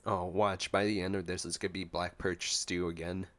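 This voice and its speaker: A man's voice: low-pitched, little pitch movement, moderate pace, a bit bright. A man giving casual, chatty gameplay reaction commentary as a streamer. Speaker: gaming streamer. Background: faint game music.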